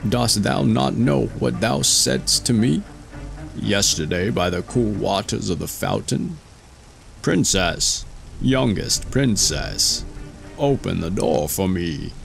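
A demanding enchanted frog from a storybook, voiced by a man, speaks in a low, slow voice. He presses his requests imperiously, in archaic phrasing. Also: lively intonation, gravelly, faint music behind, exaggerated voice, poor audio. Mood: calling, insistent. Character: insistent, demanding male frog, an enchanted prince.

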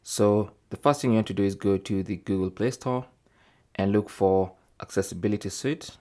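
A man's voice: fairly low pitch, normal speed, darkish tone. A man presenting a tech tutorial, narrating step by step in a methodical, informal way. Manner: methodical, informal, often tags phrases with "okay".

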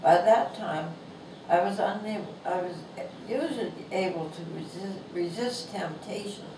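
A woman in her nineties with a thin voice, reading her own humorous essay aloud in a bookstore in a slow, gentle and amused way. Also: mid-range voice. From Canada. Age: 90s. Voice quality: thin, not a strong voice. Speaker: author reading her book. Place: bookstore, indoors. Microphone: lapel mic, filmed from across the room. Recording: echoey shop sound from a distance, background noise.

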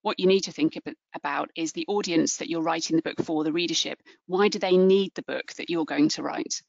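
A woman's voice: sits low, a little fast, creaky, dry recording. An Englishwoman, middle-aged, giving an earnest, encouraging webinar talk as a book publisher over a video call, with frequent ums and restarts. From England. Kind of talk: webinar presentation. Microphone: video call webcam. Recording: dull lo-fi webcam audio.

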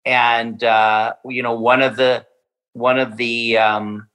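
A man's voice: mid-range voice, level tone, slow, a bit bright, low-quality recording. A man from a winery speaking in a podcast interview, hesitant, with many fillers and restarts. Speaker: winery representative.

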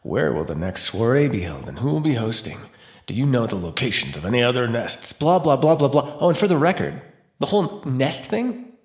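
In a roomy, echoing space, a man plays a captive male vampire in an ASMR roleplay. He is flippant and taunting, with plenty of gravelly fry in his voice. His pitch moves around freely as he needles his captors with defiant sarcasm. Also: sing-song, fairly quick. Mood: mocking, bored. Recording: roomy echo.

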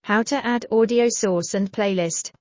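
A woman reading a scripted software tutorial in a measured, instructional manner. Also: mid-range voice, no room sound. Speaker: tutorial narrator.

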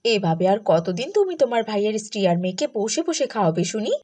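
A woman's voice: mid-range voice, very animated, fast, mellow. A woman voicing a greedy, scheming wife in a cartoon, scolding and demanding.

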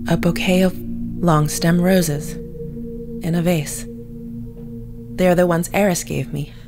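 A woman's voice: fairly low pitch, steady tone, a little slow, over music. Woman playing a formal, stilted, precise woman. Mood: suspicious, formal. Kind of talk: audio drama acting.

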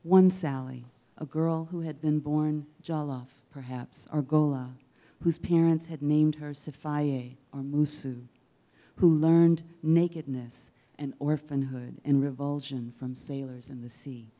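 A middle-aged woman giving a dramatic spoken-word literary reading on stage, measured and rhetorical, with deliberate pauses. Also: low-pitched, fairly even intonation, warm tone. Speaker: poet reading at an event. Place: indoors on a dark theater stage. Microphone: handheld-style microphone on a stand, close.

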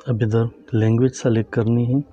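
A man calmly narrating a step-by-step phone tutorial and talking to viewers as friends. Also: mid-range voice, normal speed, lo-fi sound, faint music behind. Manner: calm, instructive, addresses viewers as friends. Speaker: tech tutorial host.

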